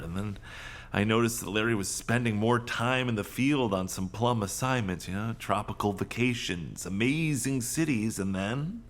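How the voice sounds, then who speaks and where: An actor playing a neurotic, self-dramatising middle-aged boss in a comedic audio drama, rambling and theatrical. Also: male voice, fairly low pitch, close to an everyday voice.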